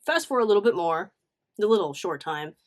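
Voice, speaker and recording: A woman talks casually, as if telling a long story to friends online. She is blunt and slangy, often breaking off for asides. Her delivery is animated and conversational. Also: mid-range voice, brisk. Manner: animated, blunt, swearing, acts out quotes. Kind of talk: casual story time vlog.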